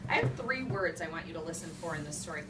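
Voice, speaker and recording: Woman, early-years teacher, classroom read-aloud, simple repetitive phrasing, distant microphone, clearly noisy room. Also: lowish voice, expressive, quick pace, reverberant. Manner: simple repetitive phrasing with emphasized key words. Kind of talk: classroom read-aloud with instructions to young children. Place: classroom with young children. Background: young children talking, room noise.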